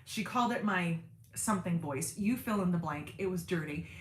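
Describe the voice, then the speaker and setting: A woman hosting a casual sewing livestream, reading viewer comments and chatting with lots of fillers and self-deprecating asides, with a fairly deep everyday voice. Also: moderate pace, roomy sound, slight background noise. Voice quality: deep speaking voice in everyday talk. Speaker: sewing channel host on a livestream.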